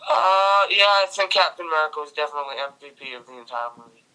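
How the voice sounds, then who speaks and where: A man's voice: fairly high pitch, expressive, fast talker, sharp tone, smooth, rough recording. A young man guesting on a casual film review, chatting informally and agreeably.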